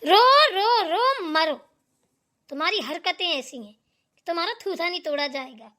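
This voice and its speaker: A woman's voice: very high voice, expressive, unhurried, exaggerated voice. A woman voicing a bossy, scolding elder sister-in-law in a Hindi family drama cartoon, hectoring and preachy. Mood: furious scolding. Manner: hectoring, sharp-tongued, preachy.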